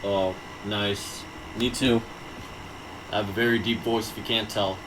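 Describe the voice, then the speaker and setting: A man with a lowish voice rattles through movie talk in casual podcast banter. He is excitable and swears freely. A steady hum sits clearly behind him. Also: unhurried. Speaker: podcast co-host. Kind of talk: casual movie review chat.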